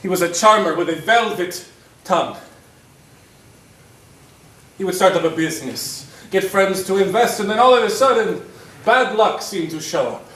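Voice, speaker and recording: An actor playing an angry, bitter prisoner in a stage play, with an intense, dramatic delivery. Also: male voice, high voice, normal speed, pauses often, fairly bright tone. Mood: bitter remembrance. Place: theatre stage, recorded from a distance. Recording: echoey stage, distant microphone.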